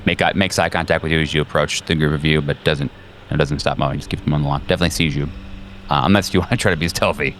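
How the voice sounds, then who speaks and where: A man working as game master, improvising narration for a tabletop game in a halting, conversational way. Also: a bass voice, fairly even intonation, a bit bright, a little hiss or hum. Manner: halting, conversational, searching for words.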